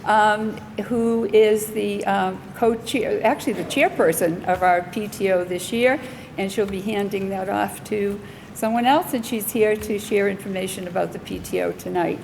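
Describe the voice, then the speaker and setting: Female school principal for an orientation welcome, addressing a group, mid-pitched, recorded in a reverberant hall with some noise. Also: normal speed, creaky, a little mellow. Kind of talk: welcome speech to an audience with introductions. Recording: echoey hall, noticeable background noise.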